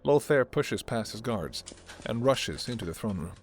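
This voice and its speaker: A man voicing the narrator of a fantasy romance, reading scripted storybook narration in a hushed way. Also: mid-range voice, animated, normal speed, slight background noise, natural voice.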